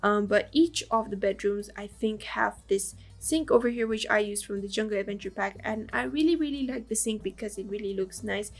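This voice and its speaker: Hoarse woman with the flu, raspy and gravelly, chatty game voice-over, faint music behind. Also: mid-range voice, a little fast, few pauses. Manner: rambling, lots of fillers and small laughs. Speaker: game builder narrating a build. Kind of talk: casual voice-over commentary.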